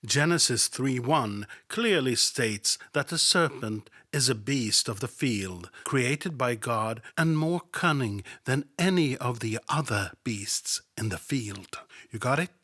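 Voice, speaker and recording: A man's voice: mid-range voice, expressive, slow, pushed and bright, no room sound, studio quality. A man hosting a satirical Bible commentary podcast, sardonic and mocking, sometimes dropping to a whisper for asides. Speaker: podcast host.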